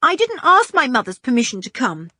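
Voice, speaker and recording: A woman reading a panicky, frightened young boy in an audiobook, with shrill, breathless pleading. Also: very animated, normal speed, a bit bright, no room sound, studio quality. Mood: defensive.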